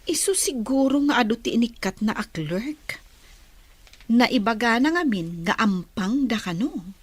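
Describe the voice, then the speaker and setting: Teasing gossipy office gal, ilocano accent, high voice, slow talker, radio drama. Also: female voice, animated, husky, no room sound.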